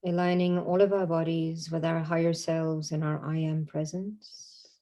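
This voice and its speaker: Mellow, low-voiced woman guiding a meditation. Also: flat delivery. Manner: soothing, unhurried, short phrases with long pauses. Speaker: meditation guide. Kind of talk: guided meditation narration.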